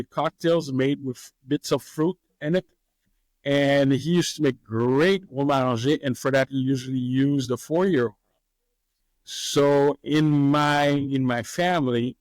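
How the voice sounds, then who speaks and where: Man, hesitant and relaxed. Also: medium pitch, no room sound, poor audio. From Haiti. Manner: relaxed, hesitant with frequent fillers, laughs easily. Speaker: master distiller, guest. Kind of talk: interview answers.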